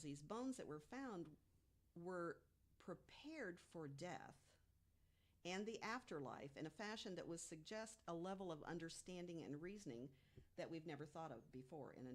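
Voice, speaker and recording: Low-voiced woman explaining on a podcast. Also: animated, moderate pace. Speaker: podcast guest panelist. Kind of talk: informal podcast storytelling from research notes.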